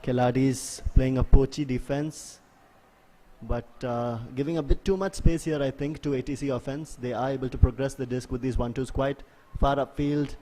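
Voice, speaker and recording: Mellow man calling a match. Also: mid-range voice, fairly even intonation, normal speed. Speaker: sports commentator. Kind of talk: live play-by-play commentary of an ultimate frisbee match.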